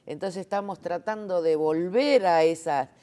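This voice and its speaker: A woman's voice: fairly low pitch, a little slow, warm tone. A woman, interviewed as a sign language interpreter, explaining her views emphatically, with many fillers and rhetorical checks. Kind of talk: conversational interview.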